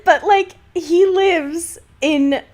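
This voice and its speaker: A woman's voice: extremely high pitch, very slow, darkish tone. A woman co-hosting a podcast, chatting casually and comedically in informal, slangy, sweary language. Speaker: podcast co-host. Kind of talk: casual, comedic book discussion chat.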